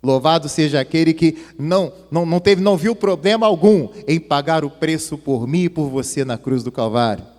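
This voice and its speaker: A man's voice: on the high side, brisk, hardly any pauses, a little mellow. A male pastor preaching an impassioned sermon, his voice swelling and dropping. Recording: long hall reverb.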